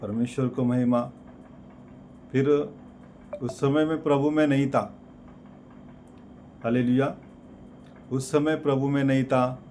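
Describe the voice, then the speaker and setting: A man prays and then tells his story of faith, very slowly and haltingly. He keeps repeating "Lord" and "Father" between short phrases. His pitch sits in the middle range, and faint noise runs under the recording. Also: steady tone, a little mellow. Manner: slow, halting delivery with many repeated "Lord" and "Father" fillers. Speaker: preacher giving a testimony. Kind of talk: prayer and personal testimony.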